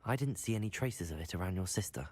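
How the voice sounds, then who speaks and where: A courteous, curious teenage wizard in a video game. His voice is husky and lowish but moves around a lot, measured in manner. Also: expressive, a little mellow, no echo. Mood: polite, curious. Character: polite, curious teenage male wizard student. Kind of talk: video game cutscene acting.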